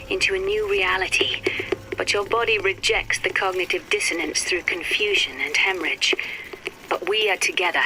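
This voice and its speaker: An actress playing a cool, erudite, wry and melancholy physicist in a game audio log, speaking in precise, measured, formal phrases. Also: female voice, medium pitch, bright, forceful tone, close to an everyday voice. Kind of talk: scripted dramatic audio-log monologue for a game. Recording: noisy, crackly vintage audio-log recording.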